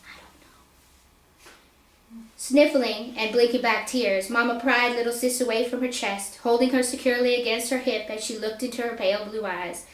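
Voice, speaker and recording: Higher-voiced woman reading fiction, echoey room. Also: steady tone, a little fast, few pauses. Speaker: author reading own fiction aloud. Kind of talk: dramatic story reading. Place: bookstore reading, indoors.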